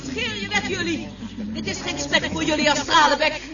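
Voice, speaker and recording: An actor playing a shrill hostile spirit, with a piercing, shrieking voice. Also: male voice, normal speed. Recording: echoey distant voice over music, lo-fi.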